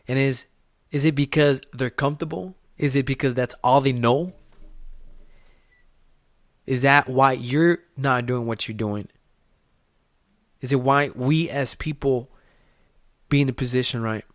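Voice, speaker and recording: A man's voice: mid-range voice, no room sound, studio quality. A man whose first language is Spanish, hosting a podcast and giving a blunt, profane motivational talk full of rhetorical questions. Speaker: podcast host. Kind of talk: motivational monologue.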